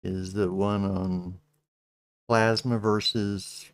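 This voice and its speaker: A middle-aged man with a Texas accent, hosting a casual livestream chat, speaking slowly with many halting restarts. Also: on the low side, little pitch movement, no room sound. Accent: Texan. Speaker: channel host.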